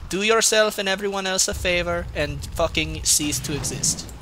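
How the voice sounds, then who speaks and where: A man's voice: high voice, moderate pace, heavy background noise, lightly acted. A man putting on a Russian accent as a crude, boastful, grumbling gamer, giving comic gameplay commentary in broken English. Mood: angry, boastful. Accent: put-on Russian. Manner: crude, swearing, mock-menacing, broken English.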